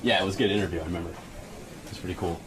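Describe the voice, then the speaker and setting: A man's voice: low voice, steady tone, very fast. A man interviewing guests casually backstage. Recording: clearly noisy backstage interview audio.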